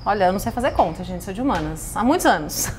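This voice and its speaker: A woman's voice: mid-range voice, lively intonation, quick pace. Woman, Brazilian accent, podcast host, playful, informal, chatty, faint noise and ambience behind. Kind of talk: casual podcast chat.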